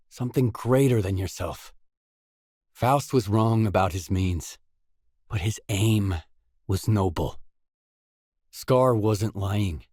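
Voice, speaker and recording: An actor playing an earnest, determined stalker in a post-apocalyptic video game, speaking in a measured, solemn way. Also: male voice, on the low side, darkish tone, breathy edge, no echo, studio quality, heavily acted. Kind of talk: scripted video game dialogue.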